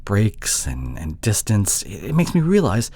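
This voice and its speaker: A man playing an apologetic, insecure boyfriend in an intimate audio drama, speaking haltingly with sighs and breathy murmurs. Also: fairly low pitch, huge pitch swings, mellow, dry recording, exaggerated voice. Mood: apologetic, earnest. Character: apologetic, pleading long-distance boyfriend, insecure young man. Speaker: audio roleplay voice actor.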